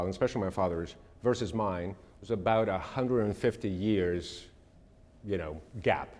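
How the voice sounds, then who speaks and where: A middle-aged man from Greece, giving a measured, earnest presentation and answering audience questions. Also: low-pitched, a little mellow, big-room sound. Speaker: speaker.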